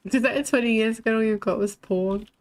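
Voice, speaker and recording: A woman's voice: a little high, fast, darkish tone. Sweary woman streamer with a lisp. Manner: blunt and sweary, laughs often. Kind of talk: casual chat while playing a shooter game.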